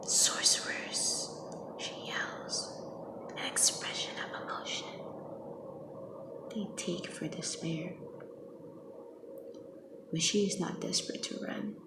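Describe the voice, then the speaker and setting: A young woman speaking very softly in a half-whispered ASMR style, gently reading poetry in an unhurried, hushed manner. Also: fairly low pitch, steady tone. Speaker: ASMR host reading their own poems. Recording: echoey, with clear background noise.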